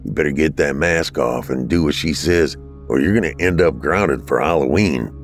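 A man's voice: very deep voice, moderate pace, soft background music, voice pushed down. A man voicing a casual, skeptical older teenage brother in a story, slangy and exasperated. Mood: skeptical annoyance.

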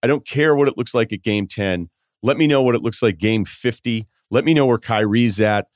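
A man's voice: medium pitch, normal speed, dry recording, clean studio sound. A man hosting a sports podcast, giving informal, wry, conversational commentary.

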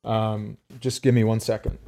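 A man's voice: fairly low pitch, flat delivery, normal speed, gravelly, warm tone. Rambling middle-aged man telling comic stories. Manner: rambling, jokey. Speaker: comedy podcast co-host. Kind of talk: casual comic storytelling.

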